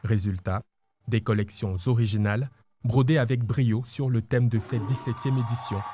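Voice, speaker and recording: A man reading a scripted news report as a voice-over in a polished, measured way. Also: medium pitch, fairly even intonation, dark tone, a little hiss or hum.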